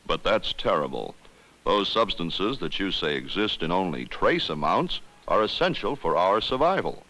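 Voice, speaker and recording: Deep, bright-voiced commanding starship captain. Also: male voice, big pitch swings, normal speed, full character voice, rough recording. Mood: astonished. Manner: formal, commanding delivery. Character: stern alien starship captain, formal and commanding. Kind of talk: scripted educational film drama.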